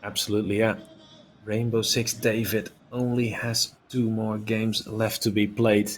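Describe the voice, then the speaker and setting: A man's voice: fairly low pitch, flat delivery, a little slow, rough recording. A man giving live play-by-play commentary on a football video game as an esports commentator.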